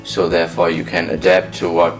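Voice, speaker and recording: Deep, creaky German-accented man. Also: completely flat delivery, fairly quick. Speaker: English teacher. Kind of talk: interview testimonial. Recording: distant mic, faint music. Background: faint music.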